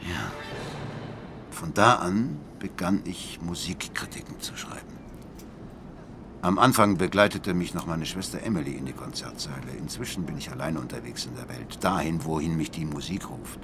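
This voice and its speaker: An actor playing a blind, worldly music critic in a radio drama, speaking eloquently and deliberately with bitter passion. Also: male voice, extremely low pitch, creaky, noisy background. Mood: reminiscing, intense. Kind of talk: radio drama dialogue and monologue. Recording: music behind the voice.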